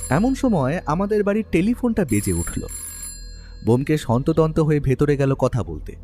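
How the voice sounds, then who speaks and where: A man voicing the detective's companion, a writer who narrates the story in first person with lively, expressive storytelling. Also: a little high, moderate pace. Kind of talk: storytelling narration in an audio drama. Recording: long reverb over soft music.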